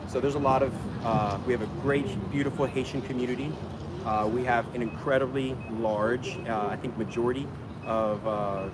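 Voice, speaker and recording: A man from Miami at a street protest, switching between rambling casual talk and loud, declaiming proclamations. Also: medium pitch, steady tone, moderate pace. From Miami, United States. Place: outdoors on a city street. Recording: distant voice, loud street and traffic noise. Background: traffic and urban ambience.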